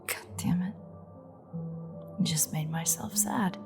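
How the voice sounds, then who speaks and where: A sardonic female vampire, acted by a woman for an intimate audio drama, speaks low and very slowly with a creaky edge. She slips in and out of whispers, threatening and teasing an old enemy she is secretly fond of. Music plays behind her. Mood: wry, wistful. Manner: wry, teasing, half-whispered, unhurried. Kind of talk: intimate audio roleplay acting.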